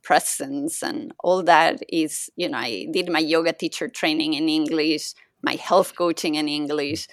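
A woman from Mexico, a native Spanish speaker, talking as a podcast guest in a thoughtful, gentle way and laughing often. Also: low voice, fairly even intonation, measured pace, few pauses, dry recording, clean studio sound. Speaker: podcast guest, yoga teacher and health coach. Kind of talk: casual interview conversation.

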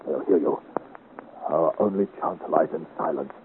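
A man's voice: extremely low pitch, normal speed. An actor playing a proud, brave giant of a young swordsman, terse and theatrical, in a 1950s radio serial. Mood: low, guarded. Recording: scratchy, muffled 1950s radio recording.

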